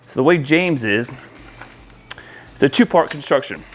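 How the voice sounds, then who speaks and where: A man with a mid-pitched voice gives a casual walkthrough as an installer. He speaks informally and directly to his viewers, explaining hands-on work as he goes. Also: animated, normal speed, noisy background. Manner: informal, addresses viewers as guys. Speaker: audio-video installer presenting to viewers.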